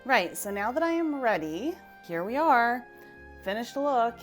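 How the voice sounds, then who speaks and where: A woman in her thirties with a low voice, chatting casually while doing her makeup for a vlog, upbeat and self-deprecating. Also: very animated, unhurried, faint music behind. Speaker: vlogger. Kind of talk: casual get-ready-with-me vlog.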